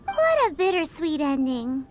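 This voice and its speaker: A woman voicing a tiny, childlike female fairy companion in a video game, squeaky, perky and cheeky. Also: very animated, measured pace. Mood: musing. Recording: loud music behind the voice.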